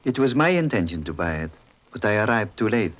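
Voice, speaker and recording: An actor playing a gaunt, sinister foreign collector with formal, measured courtesy, in 1940s radio style. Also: male voice, on the low side, very animated. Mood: politely menacing. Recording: dull old radio recording.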